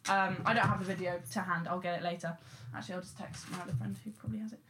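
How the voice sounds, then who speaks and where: A young woman co-hosting a casual group podcast, talking in an excitable, exclamatory way. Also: on the low side, rapid-fire, background noise. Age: young adult. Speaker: podcast co-host.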